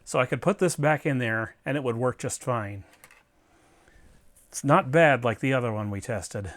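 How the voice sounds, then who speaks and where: A man with a mid-pitched voice narrates hands-on repair work in a casual way. He thinks aloud step by step and speaks directly to the viewer, as the host of a do-it-yourself electronics video. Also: normal speed, fairly bright tone.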